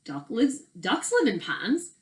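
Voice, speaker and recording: A woman voicing a timid, sheepish young male possum in a storybook, with a hesitant, drawn-out delivery. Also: on the high side, wildly swooping pitch, voice pushed up, heavily acted. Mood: puzzled.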